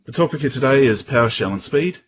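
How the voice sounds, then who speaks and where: A man with a New Zealand accent giving an informal technical presentation in a casual, halting way. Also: medium pitch, expressive, husky, dull audio. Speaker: guest presenter at a tech user group.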